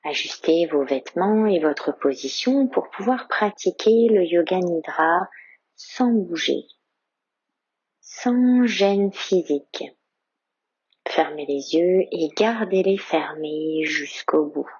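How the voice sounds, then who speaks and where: A woman leading a guided yoga nidra meditation as a yoga teacher, slow and soft-spoken, slipping into whispers. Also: lowish voice, animated, slightly rough, dry recording, lo-fi sound.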